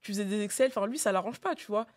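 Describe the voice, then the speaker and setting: A woman in her twenties speaks very quickly at an ordinary pitch. She is a conversational panel guest, explaining and qualifying her points in a casual debate. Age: 20s.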